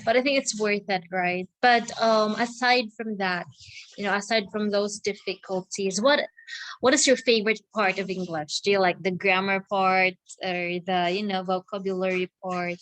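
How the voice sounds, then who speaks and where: A woman's voice: mid-range voice, fairly even intonation, normal speed, fairly bright tone, dry recording, poor audio. A young woman from the Philippines with a Filipino accent teaches English in a casual online lesson. She is friendly and encouraging and often checks in with "right?". The recording is dry and close, with no room sound. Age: 20s.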